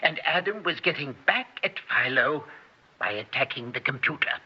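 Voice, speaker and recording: A man's voice: mid-range voice, expressive, normal speed, close to an everyday voice. A man playing an earnest, uneasy scientist in an old-time radio drama, speaking formally and precisely. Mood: insistent. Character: earnest, uneasy scientist giving a recorded confession. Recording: dull, noisy old radio recording.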